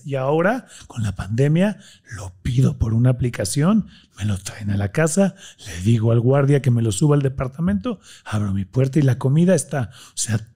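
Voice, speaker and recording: Mexican guy in his 30s, studio interview, passionate, kinda husky, warm tone. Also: mid-range voice. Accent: Mexican Spanish. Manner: passionate, gestures a lot, lists things rapidly. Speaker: guest. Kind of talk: animated interview conversation. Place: studio with blue-lit curtains. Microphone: studio mic on a desk stand.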